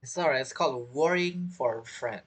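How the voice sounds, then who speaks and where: A man's voice: mid-range voice, moderate pace, a bit bright, breathy edge. A man giving casual, joking, reactive commentary as he streams a game. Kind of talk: casual commentary over gameplay.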